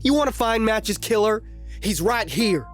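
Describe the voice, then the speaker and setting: A woman's voice: fairly high pitch, lively intonation, unhurried, soft background music. A woman playing a scornful, defiant western troupe member in an audio drama. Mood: cold, defiant.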